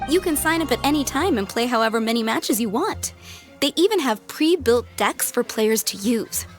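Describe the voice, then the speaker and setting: A woman's voice: fairly high pitch, lively intonation, normal speed, lightly acted. A woman voicing a friendly, helpful townswoman in a video game, polite, upbeat and explanatory. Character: friendly, helpful townswoman giving event information. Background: music.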